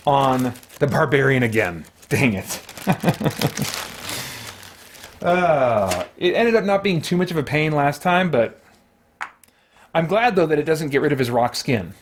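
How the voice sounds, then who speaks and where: A man hosting a solo tabletop game, talking casually and thinking aloud in a rambling way as he plays. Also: fairly high pitch. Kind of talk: casual game play-through commentary.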